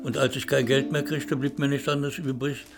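A man's voice: mid-range voice, flat delivery, fairly quick, vocal fry, over loud music. An older German man, a former actor, reminiscing in an interview in a theatrical way, calling the listener darling.

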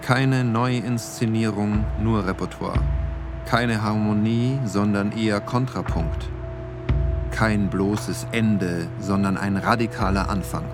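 A man reciting scripted aphorisms for a radio play with deliberate, separated phrasing. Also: on the low side. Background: music.

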